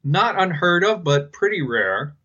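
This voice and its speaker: A man hosting a casual podcast, speaking hesitantly and haltingly as he thinks aloud. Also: high-pitched, big pitch swings, pushed and bright, husky, dull audio. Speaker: podcast host.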